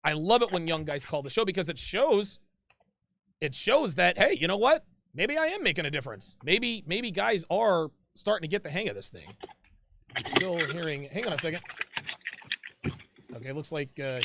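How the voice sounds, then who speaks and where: A man's voice: on the high side, very animated, moderate pace, gravelly, heavy background noise. A man hosting a live call-in advice show, talking casually, repeating himself and calling people "dude".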